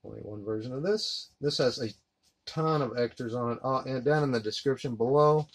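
A man in his thirties giving a relaxed, rambling unboxing commentary while handling discs at his desk. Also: mid-range voice, brisk. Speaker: collector unboxing a disc set. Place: collectibles room indoors. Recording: noisy home recording.

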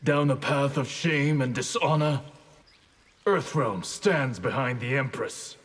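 An actor playing a stern, honorable ninja grandmaster in a video game, speaking in a terse, resolute, formal way. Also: male voice, mid-range voice, slow pace, fairly bright tone, breathy edge. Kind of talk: video game character acting.

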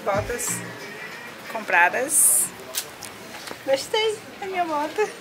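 A woman's voice: sits high, very animated, takes it slowly, low-quality recording. A young woman with a Brazilian Portuguese accent, chatting casually and cheerfully to a handheld camera while travelling. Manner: cheerful, chatty, laughs often. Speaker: travel vlogger. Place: airports and camper van. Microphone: handheld action camera. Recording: music mixed under voice.

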